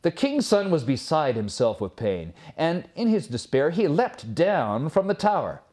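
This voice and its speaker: A man reading a fairy tale aloud as a storyteller, expressive and theatrical, with measured phrasing. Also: on the high side.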